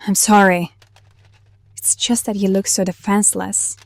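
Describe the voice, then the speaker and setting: A woman's voice: medium pitch, flat delivery, takes it slowly, vocal fry. A woman playing a protective, wistful half-banshee, half-necromancer outcast who speaks confidingly in an audio drama. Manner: calls the younger one honey, confiding.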